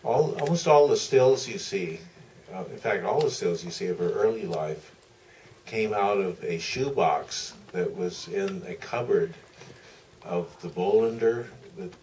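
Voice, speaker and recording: Male panel guest with a very low voice, halting anecdotal delivery, distant pickup with faint noise. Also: darkish tone. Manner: halting, deliberate, frequent pauses mid-sentence. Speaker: film director, panel guest. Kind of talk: anecdotal Q&A answer. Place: theater stage at a public Q&A.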